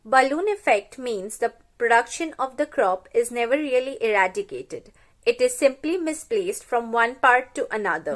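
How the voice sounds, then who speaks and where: A woman's voice: fairly high pitch, a bit bright. A woman in her twenties from India, earnestly presenting a scripted lesson. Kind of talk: scripted educational narration.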